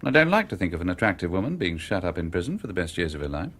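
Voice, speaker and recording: A man's voice: low voice, big pitch swings, racing, a bit bright, heavily acted. An actor playing a cool, probing male stranger in a radio mystery, with an urbane, wry way of questioning. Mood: cool, deliberate. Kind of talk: radio mystery drama acting.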